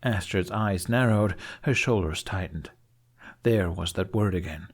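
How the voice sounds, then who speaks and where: A man reading fiction as an audiobook narrator, in an expressive, scripted storytelling style. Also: low voice, measured pace.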